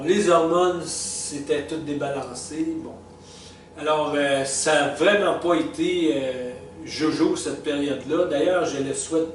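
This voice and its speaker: Québécois man in his sixties, fairly high voice, halting and chatty, stands back from the mic in a very echoey room. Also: far from the mic. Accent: Québécois French. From Quebec. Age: 60s. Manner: halting, with frequent fillers, restarts and chuckles. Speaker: retired vlogger reflecting on life stages. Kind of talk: casual spoken reflection. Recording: echoey room, speaker well back from the mic, faint hiss.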